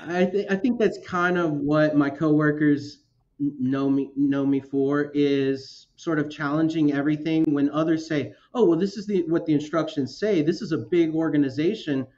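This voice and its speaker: An earnest man talks as a guest in a casual chat, halting and restarting as he goes. His voice sits a little high. The recording sounds somewhat dull and lo-fi. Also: darkish tone. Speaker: guest, technology officer.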